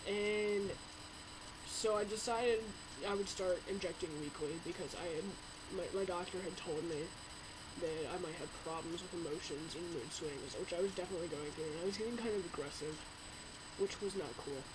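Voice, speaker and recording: A man's voice: sits high, normal speed, noisy background. A young man talking casually to his webcam in a home video diary, rambling with lots of fillers. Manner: rambling, chatty, full of "um" and "like". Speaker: vlogger.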